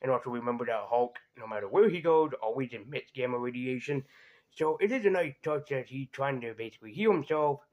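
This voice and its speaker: A man's voice: medium pitch, expressive, brisk, dull audio. A man reviewing cartoons in a casual, conversational way, hesitating and drifting into asides as he goes. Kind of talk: casual rambling review commentary.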